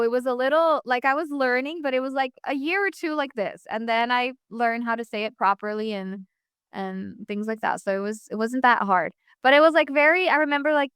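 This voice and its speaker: A woman's voice: a little high, a bit bright, clear, resonant tone, no room sound, studio quality. A young woman from the United States, a guest talking casually in an interview, rambling with many fillers and restarts.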